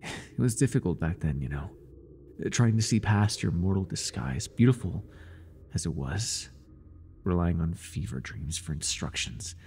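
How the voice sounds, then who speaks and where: A man playing an obsessive, jealous high priest in an ASMR roleplay, fawning over his god in grandiose, stammering, pleading speech. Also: expressive, unhurried, darkish tone, slight background noise. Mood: reverent, wistful. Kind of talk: ASMR roleplay monologue, dramatic acting.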